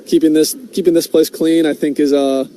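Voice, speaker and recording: A young man in his twenties, a pro baseball pitcher, answering interview questions casually, with many fillers and restarts. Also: flat delivery, warm tone, slight background noise. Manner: halting, many "I mean" and "I think" fillers.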